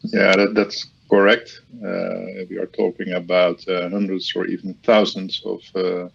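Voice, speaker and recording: A man heading a supercomputing department, giving measured, halting technical explanations in an interview. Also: deep voice, flat delivery, vocal fry, breathy edge, lo-fi sound.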